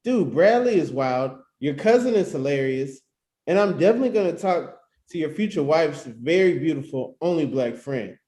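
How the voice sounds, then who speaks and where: A man as an animated, joking buddy, echoey room. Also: on the high side, normal speed, lightly acted. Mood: upbeat, joking. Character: joking, upbeat best friend on a wedding weekend. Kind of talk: screenplay table read. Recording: roomy, echoey home recording.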